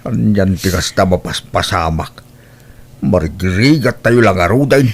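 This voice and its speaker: A man's voice: low-pitched, expressive, a little slow, slightly rough, heavily acted. A man playing a frank, dismayed older relative in a Filipino radio drama, with a disapproving manner.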